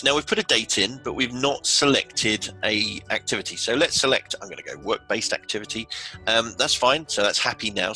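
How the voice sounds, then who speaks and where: A man with a fairly low, very bright and forceful voice presents an instructional screencast. There is noticeable creak in his tone. He explains informally, one step at a time, like a casual software tutor. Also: poor audio.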